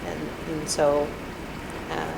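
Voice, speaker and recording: A woman in her thirties answers interview questions in her apartment, her voice low and unhurried. She hesitates often, circling back with fillers and restarts, and an air-conditioner hum sits clearly under her speech. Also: very animated. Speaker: alumna being interviewed. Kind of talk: reflective interview.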